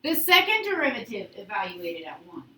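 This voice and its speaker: A woman teaching high school calculus to a class, instructive, often checking understanding with short questions and prompts. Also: huge pitch swings, normal speed, sharp tone. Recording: echoey classroom, distant mic, faint noise.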